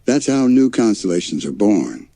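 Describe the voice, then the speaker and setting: A man's voice: lowish voice, sing-song, normal speed, creaky, dark tone, dry recording, pitched above the performer's own voice, heavily acted. A man voicing a goofy, boastful young male cartoon bear in a bedtime story, enthusiastic and slangy. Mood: proud.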